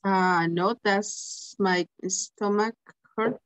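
A woman whose first language is Spanish, reading aloud haltingly as a student in an English lesson. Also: mid-range voice, fairly even intonation, fairly bright tone, poor audio. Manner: slow, halting reading with hesitations.

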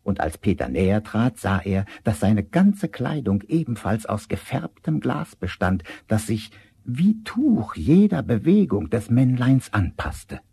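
The narrator of an old fairy-tale audio drama. His voice sits fairly low and moves expressively through the phrases in a measured, dramatic storybook style. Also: very animated, creaky, dark tone, breathy edge, full character voice. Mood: gentle, descriptive.

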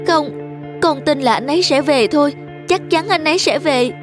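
Woman acting a pleading young village maiden, high voice. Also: moderate pace, music in the background, close to an everyday voice. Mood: resolute. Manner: earnest, pleading. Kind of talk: animated folk tale dialogue.

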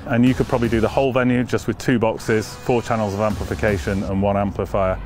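A man's voice: on the low side, flat delivery, fast. A man explaining a product in a promotional style. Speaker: product presenter. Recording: music bed behind the voice. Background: music.

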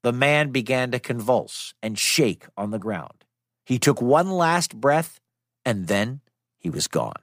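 A man's voice: medium pitch, very animated, takes it slowly, fairly bright tone, dry recording. A man narrating a scripted myth for children as a storyteller, in a dramatic but conversational way. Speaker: storyteller, podcast host. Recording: dry studio sound with music behind. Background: music.

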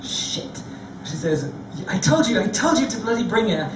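A man on a discussion panel, telling a lively, self-deprecating story. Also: high voice, normal speed, far from the mic, background noise. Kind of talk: anecdotal storytelling. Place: large theatre stage before an audience. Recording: echoey hall recorded from afar.

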